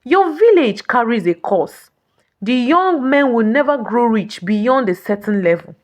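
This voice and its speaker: A woman storyteller plays a gentle river goddess from a folktale. The voice is fairly high and moves expressively. The delivery is solemn and measured, as if imparting ancient instruction. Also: expressive. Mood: solemn, revealing.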